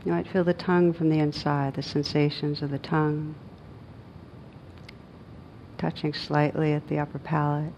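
A woman meditation teacher leading a guided meditation in a gentle, unhurried manner with long pauses. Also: low voice, flat delivery, dull audio, a little hiss or hum.

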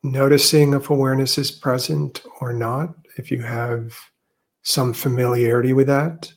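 A man leading a guided meditation as a teacher, speaking gently and unhurriedly with long pauses. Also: medium pitch, steady tone.

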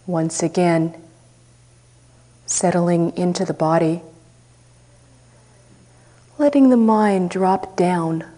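Woman guiding a meditation, real slow, mellow low-ish voice. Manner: slow, soft and unhurried with long pauses. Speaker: meditation teacher. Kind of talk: guided meditation.

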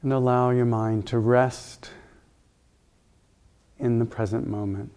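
A man leading a guided meditation as a teacher, speaking calmly and gently in unhurried, spaced instructions. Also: medium pitch, smooth.

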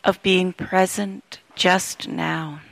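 Slow, creaky-voiced woman guiding meditation. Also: lowish voice, fairly even intonation, no room sound. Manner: gentle, unhurried, with long pauses. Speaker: meditation teacher. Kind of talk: guided meditation instruction.

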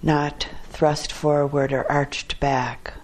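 A woman teaching guided meditation in a calm, measured way, with short phrases and long pauses between them. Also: low-pitched.

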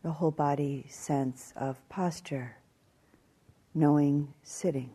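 A woman teaching guided meditation in a calm, measured way, leaving long pauses between short phrases. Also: low voice, slightly rough.

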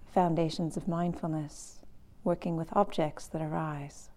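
Calm woman doing guided meditation, really slow w long pauses, voice a bit low. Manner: calm, unhurried, long pauses between short phrases. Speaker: meditation teacher.

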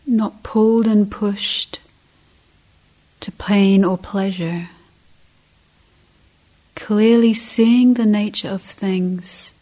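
A woman leading a guided meditation as a teacher, speaking softly and breathily in short, measured phrases with long pauses, often close to a whisper. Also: medium pitch, fairly even intonation, poor audio.

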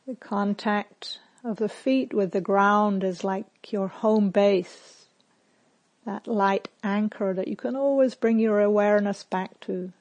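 Slow-paced woman guiding meditation. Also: mid-range voice, darkish tone. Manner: measured, instructional, with long pauses between short phrases. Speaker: meditation teacher. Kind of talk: guided meditation.

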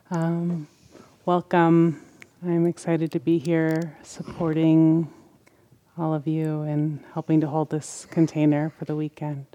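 A woman assistant teacher giving a short, slow welcome to a retreat. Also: lowish voice, warm tone, dry recording.

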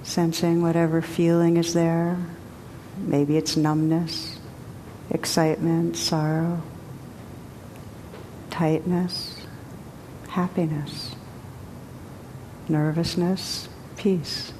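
A woman teacher guiding a group meditation in a gentle, unhurried way with long pauses. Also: level tone. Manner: slow, gentle, spacious pauses. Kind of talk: guided meditation. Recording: long hall reverb, faint rumble.

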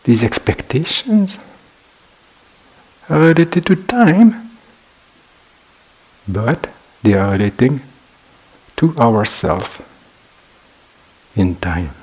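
A male meditation teacher gives a guided talk in a husky voice at a middling pitch. He speaks very slowly, in short, measured phrases, leaving space between them. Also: sing-song, darkish tone, rough recording.

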